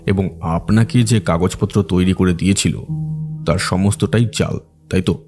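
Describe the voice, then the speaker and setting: A man's voice: low voice, normal speed, darkish tone, faint music behind. A man playing a probing, businesslike detective questioning a client in an audio drama. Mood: probing, calm.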